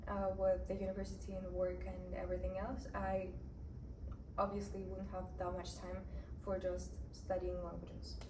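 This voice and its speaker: Chatty young woman. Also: mid-range voice. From Russia. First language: Russian. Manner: chatty, lots of "like" and "you know". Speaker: vlogger. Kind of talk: casual personal story to camera. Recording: roomy echo, distant mic, background noise.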